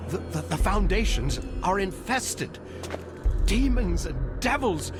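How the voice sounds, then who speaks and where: Scared architect, high voice, stammery n pleading, game cutscene, music behind. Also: male voice, sing-song, slow, heavily acted. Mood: panicked. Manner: stammering, agitated. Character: frightened, pleading male architect, alarmed and stammering. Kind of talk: video game cutscene acting.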